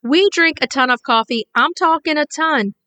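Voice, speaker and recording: Woman, bright voice, a little high, chatty and upbeat, slightly congested. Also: moderate pace. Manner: chatty, upbeat, laughs easily. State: congested, recovering from illness. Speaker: podcast co-host. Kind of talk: casual chat. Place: small home studio, at a table.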